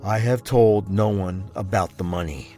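A man's voice: fairly low pitch, a little slow, mellow, soft background music, close to an everyday voice. A man playing a guarded, determined husband in a crime audio drama, speaking with intensity. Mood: puzzled. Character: capable, determined husband of a kidnapped wife.

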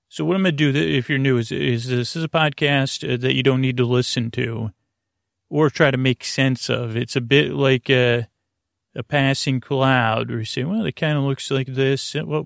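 Creaky-voiced man rambling a bedtime monologue. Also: medium pitch, fairly even intonation, dry recording, studio quality. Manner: meandering, halting, self-correcting, drifting into tangents. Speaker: podcast host. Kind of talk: rambling bedtime monologue to lull listeners to sleep.

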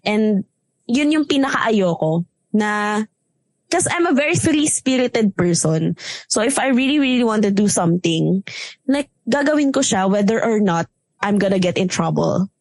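A Filipino woman with a strong, loud voice talks casually, sliding between Tagalog and English. She leans on filler words as she goes. The sound is close and dry, with no room around it. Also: mid-range voice, animated, moderate pace, no echo. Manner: chatty, mixes Tagalog and English with many fillers. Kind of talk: casual chat.

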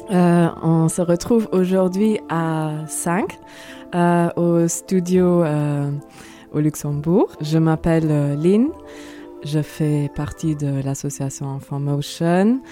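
A woman hosting a podcast in a studio, talking hesitantly with many filler pauses. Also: low-pitched, warm tone, soft background music. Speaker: host, social worker and youth educator.

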